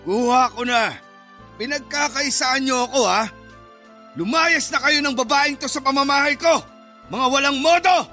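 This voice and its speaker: Casting: bitter, menacing older dad for radio drama, very high strained pitch, piercing and forceful. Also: male voice, moderate pace, soft background music. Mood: furious, threatening. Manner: shouting, indignant, threatening. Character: angry, abusive, unforgiving father.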